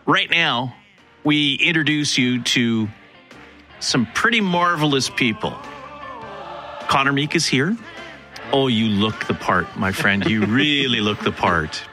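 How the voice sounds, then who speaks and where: This is a man hosting a radio news hour, upbeat and playful with his guests. His voice is bright and lively, rising and falling a lot. Faint music sits under the talk. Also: medium pitch, slow pace, pauses often. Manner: upbeat, jokey, animated questioning. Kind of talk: broadcast news and interview chat.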